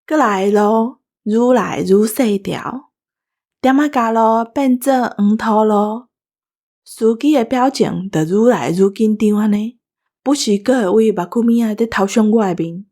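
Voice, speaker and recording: A woman's voice: a little high, measured pace, warm tone. A woman with a Taiwanese accent hosting a show, telling anecdotes conversationally. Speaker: show host.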